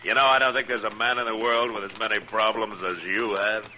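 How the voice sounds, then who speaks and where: An actor playing a steady, laconic frontier lawman with an American accent, measured and dry, in an old-time radio western. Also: fairly low pitch. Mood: dry, patient. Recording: old radio recording with faint noise.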